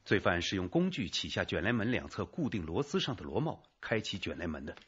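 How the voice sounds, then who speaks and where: An actor playing a keen male police investigator reporting findings briskly in a radio crime drama. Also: low voice, big pitch swings, a bit bright, slightly rough, muffled recording, exaggerated voice. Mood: focused.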